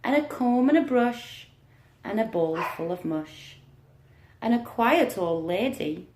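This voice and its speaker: The room rings with echo around a woman leading storytime for small children. Her voice sits a little high and she takes her time, very slowly, leaving deliberate pauses. She sounds like an encouraging early childhood teacher reading to a class. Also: expressive, a little mellow, a little hiss or hum. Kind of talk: storytime read-aloud to young children. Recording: roomy, echoing room sound.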